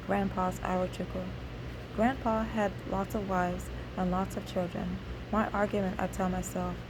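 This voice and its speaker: A woman whose native language is Igbo narrates a scripted first-person memoir, reading in a halting way with occasional slips. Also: medium pitch, little pitch movement, background noise. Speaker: memoir author reading own story.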